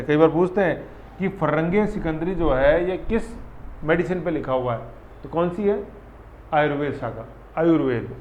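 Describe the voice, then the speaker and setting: A man teaching an exam-prep history lesson in a chatty, repetitive way, often quizzing his students. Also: a little high. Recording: echoey room, dull audio, faint ambience.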